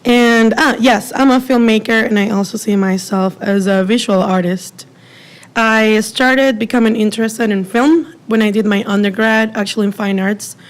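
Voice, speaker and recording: A young woman with a Venezuelan Spanish accent, answering interview questions in a relaxed, chatty way with frequent fillers. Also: fairly high pitch, moderate pace. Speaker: filmmaker guest.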